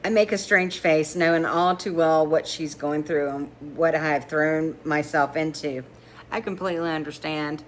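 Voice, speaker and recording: A woman doing an animated, informal read-along of romance fiction as narrator, stumbling over words now and then. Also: sits low, moderate pace. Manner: stumbles over words and giggles while reading. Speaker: narrator reading a novel aloud. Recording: clear background noise.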